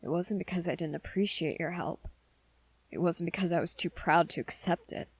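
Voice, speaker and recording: A woman voicing a shy, earnest, lovestruck young male werewolf in an ASMR romance roleplay, with halting, hesitant, soft-spoken delivery. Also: fairly low pitch, slightly rough, dry recording. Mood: guilty, pleading.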